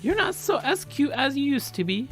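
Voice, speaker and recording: Man putting on a high-pitched, teasing old grandma. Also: sing-song, moderate pace, vocal fry, a bit bright, faint music behind, heavily acted. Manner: playfully crafty, coaxing. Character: teasing, doting, energetic old grandmother, around eighty. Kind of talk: character voice in a story reading.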